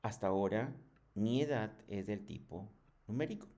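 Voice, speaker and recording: A man giving a programming tutorial in a halting, didactic way, often checking in with short questions. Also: lowish voice, mellow. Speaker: programming instructor. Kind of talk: screen tutorial lesson.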